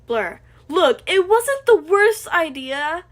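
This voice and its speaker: A woman's voice: very high voice, big pitch swings, slow, voice pushed up, heavily acted. A woman voicing a cheeky young male hacker with a bouncy, teasing delivery.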